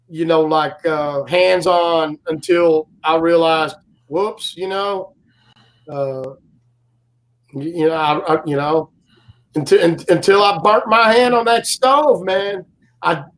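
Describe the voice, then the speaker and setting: Slow-talking high-voiced man, rambling. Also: poor audio. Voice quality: loud, carrying voice. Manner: rambling storyteller, many fillers and restarts. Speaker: guest co-host. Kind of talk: casual live-stream chat.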